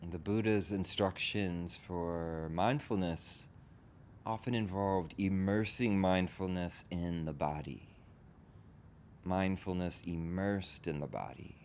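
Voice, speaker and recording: Low-voiced man guiding a meditation. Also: takes it slowly. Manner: gently instructing in short, spaced phrases. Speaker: meditation teacher. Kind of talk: guided meditation. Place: group meditation session in a room. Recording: background rumble and noise.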